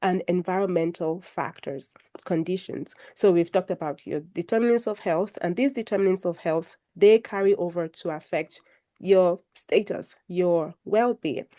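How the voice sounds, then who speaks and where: A woman moderating an online health discussion over a video call, getting over a cold and a little croaky, making formal introductions with light self-deprecating humor. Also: lowish voice, normal speed, warm tone. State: recovering from a cold, a bit croaky. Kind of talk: hosting a video call panel, presenting slides.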